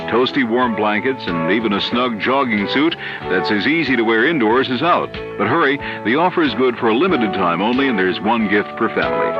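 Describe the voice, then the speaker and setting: An American man reading local announcements in the practised style of a radio station announcer. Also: medium pitch. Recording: dull 1970s AM radio, music behind.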